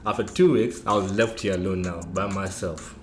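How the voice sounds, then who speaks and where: A man's voice: sits low, normal speed, faint music behind. A young man from Kenya with a Nairobi accent, chatting casually and laughing often. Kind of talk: casual chat. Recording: echoey room with faint noise.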